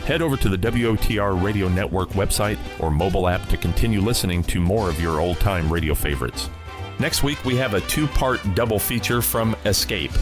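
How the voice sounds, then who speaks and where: Low-voiced radio host over music. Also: male voice. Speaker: podcast and radio program host. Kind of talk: scripted promotional narration.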